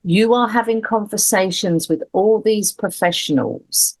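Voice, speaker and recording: A woman hosting a podcast interview, speaking in a measured, empathetic way. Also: mid-range voice, no room sound, poor audio. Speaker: podcast host. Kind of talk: conversational interview.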